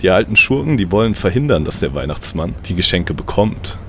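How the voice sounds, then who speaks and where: A man's voice: sits low, big pitch swings, brisk, slight background noise. A man narrating a comic audio play as host, with a playful, mock-dramatic storytelling manner.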